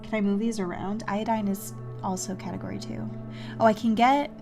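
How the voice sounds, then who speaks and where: A woman's voice: medium pitch, steady tone, a little fast, over music. A woman giving casual gameplay commentary, muttering to herself in a hesitant, self-questioning way as she thinks aloud. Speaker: video game streamer playing a puzzle adventure.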